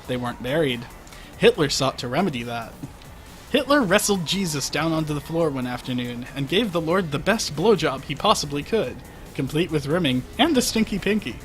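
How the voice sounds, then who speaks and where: Male livestream story reader, wry and prone to asides; lively, swooping pitch over quiet music. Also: moderate pace. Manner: wry, breaks off to comment on the text. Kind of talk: comic fan fiction reading on a livestream. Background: faint music.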